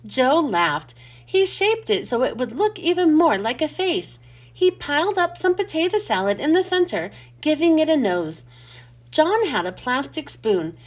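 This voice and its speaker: A woman reading a children's storybook aloud as host, with expressive, clearly enunciated delivery. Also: sits high, unhurried.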